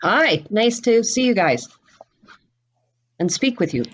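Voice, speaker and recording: A middle-aged woman speaking as a guest expert on a podcast, explaining things informally and deliberately, with pauses between phrases. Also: a little high, expressive, a little hiss or hum. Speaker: guest expert on mystery fiction, bookseller and writer.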